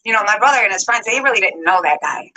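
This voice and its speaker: A woman's voice: mid-range voice, animated, fast, sharp tone, a little hiss or hum, low-quality recording. A woman telling a long personal story, rambling and adding details as she goes. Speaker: vlogger telling her story.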